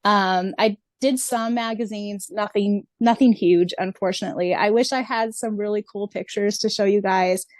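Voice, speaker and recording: A woman's voice: mid-range voice, steady tone, normal speed, hardly any pauses, a little mellow, no echo. A woman chatting casually on a podcast in an informal, storytelling way as a co-host. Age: 30s or older.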